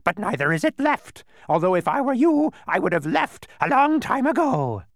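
Storybook villain-pest: a filthy old bird, high shrieking, wildly swooping, haughty and gleefully pedantic. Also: male voice, sing-song, moderate pace, dry recording, clean studio sound. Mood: smug.

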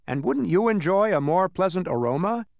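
Man voicing a fussy little official, pitched up. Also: expressive, normal speed, gravelly, dry recording. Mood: coaxing, sly. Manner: very official, chuckling, sneering. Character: little officious census taker, agreeable, sly, pedantic old-world bureaucrat.

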